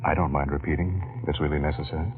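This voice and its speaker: An actor playing an ambitious, lovestruck male employee in an old radio drama, speaking earnestly with halting, wry turns. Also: extremely low pitch, steady tone, music in the background. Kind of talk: 1940s radio acting. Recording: tinny old radio broadcast.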